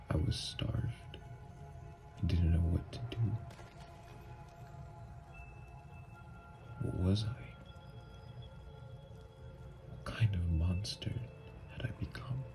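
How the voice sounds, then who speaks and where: A man playing an anguished, newly turned vampire in an intimate ASMR roleplay, speaking in a halting, hushed, gravelly voice. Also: sits low, over music, heavily acted. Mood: despairing. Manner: halting, hushed, breathy delivery with long pauses.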